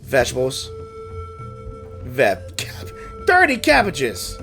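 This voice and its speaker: A man's voice: medium pitch, huge pitch swings, slow, gravelly, bright, forceful tone. A man giving casual, playful game playthrough commentary, thinking aloud and reacting, with game music behind. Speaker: gaming streamer.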